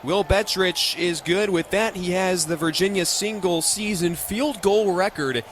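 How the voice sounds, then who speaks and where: American guy doing football radio play by play, highish voice, clipped phrases, stadium noise behind him. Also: unhurried. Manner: clipped present-tense phrases.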